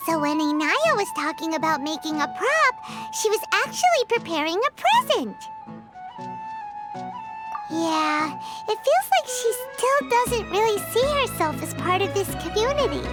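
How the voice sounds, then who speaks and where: Play a tiny, excitable game companion: keep it very high and bouncy, unhurried, blunt and sassy, over loud background music. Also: female voice, full character voice. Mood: chatty. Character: small chirpy female floating companion. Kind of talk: video game dub acting.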